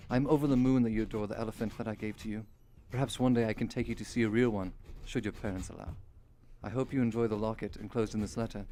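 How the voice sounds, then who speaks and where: An actor playing a courteous, affectionate Victorian older brother in a radio drama, reading letters in formal, measured, polite diction. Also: male voice, on the low side, dark tone, lightly acted.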